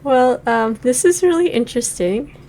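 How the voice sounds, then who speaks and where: A woman's voice: high-pitched, expressive, normal speed, darkish tone, a little hiss or hum. A woman in her forties giving casual, thoughtful commentary on a game she is playing, full of ums and likes. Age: 40s. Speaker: game reviewer. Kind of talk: casual let's play commentary.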